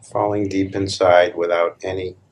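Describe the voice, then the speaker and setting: A man leading a live guided meditation, speaking calmly and deliberately in short phrases with long pauses between them. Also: low-pitched. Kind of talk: live guided meditation, slow hushed instruction.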